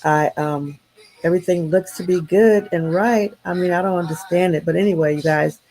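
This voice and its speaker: A woman's voice: on the low side, moderate pace, darkish tone, poor audio. A woman with a stuffy nose hosting a morning motivation live stream over a choppy internet connection, calling listeners to wake up. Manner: repeats rousing wake-up calls. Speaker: morning show host. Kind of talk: upbeat live-stream pep talk. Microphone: live-stream over internet with a poor connection.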